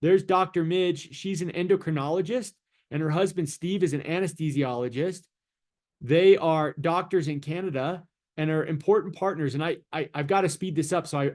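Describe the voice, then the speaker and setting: Man, host of a business call; fairly high voice, eager and hurried. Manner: upbeat, hurried, repeats words. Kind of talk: casual pitch on a video call. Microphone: video call.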